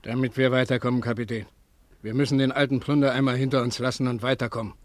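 A man's voice: mid-range voice, little pitch movement, quick pace, no echo, lightly acted. A man playing an earnest, idealistic, well-dressed conspirator in a radio play, speaking with urgency. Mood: earnest, secretive. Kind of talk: 1950s German radio play acting.

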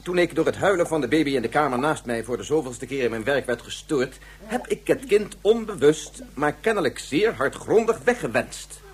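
A man's voice: a little high, animated, normal speed, natural voice. A man playing a precise, pedantic male scientist in a science fiction radio drama. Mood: pedantic, formal. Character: male scientist Yukio, pedantic lecturer turning distraught father. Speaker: actor in a radio play. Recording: noisy radio play recording with hum.

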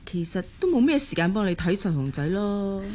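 An actress playing a chatty, concerned female colleague with a Hong Kong Cantonese accent, expressive and gently chiding in radio drama style. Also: mid-range voice, a little fast.

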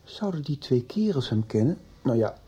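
An actor playing a sceptical, soothing middle-aged husband who questions patiently in a radio soap. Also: male voice, medium pitch, big pitch swings, normal speed, dark tone, slightly rough. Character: calm, sceptical husband soothing his worried wife. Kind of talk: Dutch radio soap acting.

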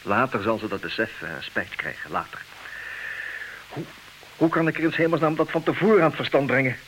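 A man's voice: medium pitch, lively intonation, a little fast, fairly bright tone, a little hiss or hum, lightly acted, rough recording. A man playing a hesitant, resigned betrayed husband who stammers and repeats words, in in an old radio play. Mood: brooding. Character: hesitant, resigned husband who knows of his wife's infidelity. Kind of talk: old Dutch radio drama acting.

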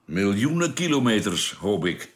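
A man's voice: fairly low pitch, very animated, moderate pace. An actor playing a hesitant, questioning spaceship crewman in a science fiction radio drama. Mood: shaken. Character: low-voiced, questioning male spaceship crewman.

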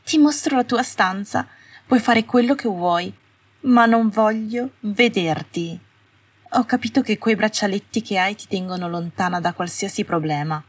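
A woman voicing a haughty, sarcastic young witch in an audiobook, with a small, brittle, high-strung voice. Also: a little slow, slightly rough. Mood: haughty, cold. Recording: roomy, slightly distant home recording.

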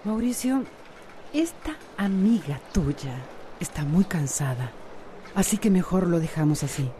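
A woman's voice: on the low side, animated, a little slow, mellow, slight background noise. An actress with a Costa Rican accent playing a bold, independent woman in a radio drama. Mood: cool, weary. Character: bold, independent woman, supportive friend, guarded with her suitor.

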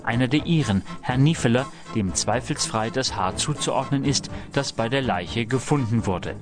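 A man's voice: mid-range voice, normal speed. A man announcing a radio play and reading a scripted recap. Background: prominent music.

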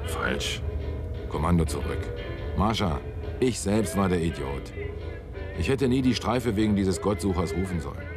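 An actor playing a sardonic, excitable rebel in a radio drama, speaking in terse, restless phrases. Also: male voice, deep voice, close to an everyday voice.

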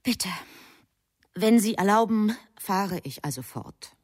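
A woman playing a composed angel who narrates in a measured, politely didactic way in a radio drama. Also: mid-range voice, animated, a little mellow. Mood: politely insistent. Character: composed angel reporting to an impatient superior.

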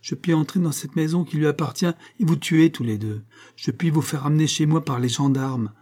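A man's voice: mid-range voice, normal speed, mellow, lightly acted. A man voicing a cruel, mocking husband in a dramatic audiobook, sneering and coldly domineering. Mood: menacing. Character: cruel, mocking estranged husband, menacing and smug.

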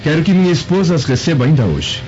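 Imperious, threatening Roman senator for radio drama, expressive intonation, light music behind. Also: male voice, fairly high pitch, quick pace. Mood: haughty, curt. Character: haughty, suspicious Roman senator. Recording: dull lo-fi audio with music.

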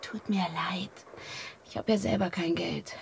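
An actress playing a broke, heartbroken young woman in a German radio drama, husky and breathy, plaintive and fragile. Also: on the low side, steady tone, a little slow, slight background noise. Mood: subdued, breathy.

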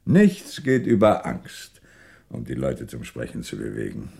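An actor playing a shrewd, self-assured police commissioner with a wry, confident manner in a 1960s German radio crime drama. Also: male voice, a bass voice, huge pitch swings, measured pace, creaky, warm tone, exaggerated voice. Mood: wry, sly.

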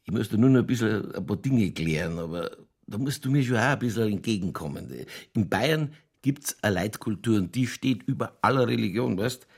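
An earthy Bavarian police detective in a radio crime drama. His voice is creaky and husky, and he holds forth with stubborn, provocative opinions in broad colloquial speech. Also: fairly low pitch, animated, normal speed, darkish tone. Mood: pushy, colloquial.

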